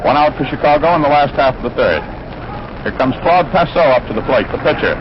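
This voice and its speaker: A man's voice: mid-range voice, lively intonation, fairly quick. An American man calling baseball play by play in 1940s radio commentary style, chatting descriptively between plays. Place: ballpark broadcast position. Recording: noisy, dull old 1940s radio broadcast.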